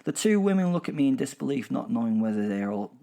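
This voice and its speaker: A man's voice: mid-range voice, big pitch swings, fast, creaky, dark tone. A man playing an arrogant, savage werewolf who narrates a horror audio drama in a theatrical, sardonic way, savouring his words. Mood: wry. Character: arrogant, gleefully savage werewolf killer telling his hunts.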